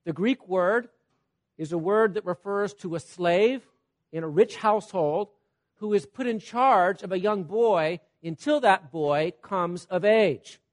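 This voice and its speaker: A man preaching a sermon, teaching with everyday examples, checking in with "Okay?" and swinging between loud emphasis and quiet asides. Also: high voice, a little slow. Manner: repeats "Okay?" to check on listeners.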